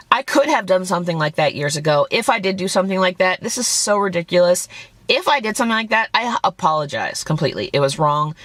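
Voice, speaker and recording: A woman vlogger, hoarse and losing her voice, bluntly and indignantly defending herself while reacting to a critic's video. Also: fairly low pitch, a bit bright, no echo. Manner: indignant, blunt, swears when angry.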